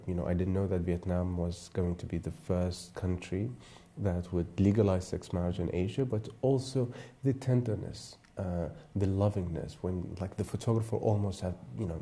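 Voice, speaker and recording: A man from Iraq, a photographer serving on a jury, giving thoughtful, halting interview answers with many fillers and restarts. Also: sits low, mellow, slight background noise.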